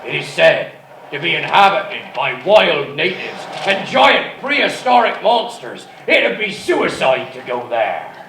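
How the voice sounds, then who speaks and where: A blustering steamship captain, acted by a man, heard from a distance on a noisy, echoey stage. The voice is high and creaky, with slow, theatrical radio-parody delivery. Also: very animated, sharp tone, full character voice. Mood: incredulous, dramatic. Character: blustering old-time steamship captain with a smuggler's streak. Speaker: actor in live radio comedy. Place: arts centre stage before live audience.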